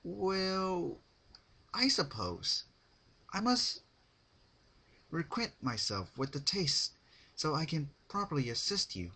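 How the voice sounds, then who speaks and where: Man putting on a high-voiced, prim lady unicorn. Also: big pitch swings, slow, long pauses, pushed and bright, full character voice. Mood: polite reluctance. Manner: genteel, hesitant, affected. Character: refined, ladylike female unicorn pony, polite and prim. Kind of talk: dramatic reading of cartoon fan fiction.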